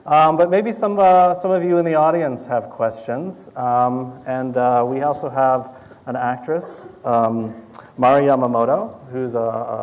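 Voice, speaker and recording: A man's voice: medium pitch, a little mellow. A man informally moderating a live audience Q&A in a casual, somewhat hesitant way. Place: indoor event venue with audience. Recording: noisy live event room.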